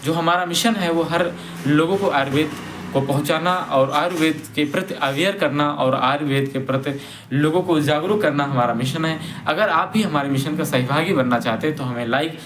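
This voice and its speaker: A man hosting a health channel, giving plain instructions and often pausing to correct himself. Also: a little high, noisy background. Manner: plain and instructive, with many pauses and self-corrections. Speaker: Ayurveda health channel host. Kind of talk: informal explanatory talk to camera.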